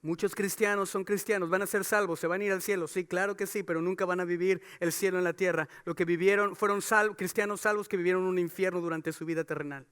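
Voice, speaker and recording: Male pastor, very high bright voice, smooth and clear, emphatic sermon delivery. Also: fairly even intonation, fast, hardly any pauses. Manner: preaching with rhetorical questions, rising to emphatic calls.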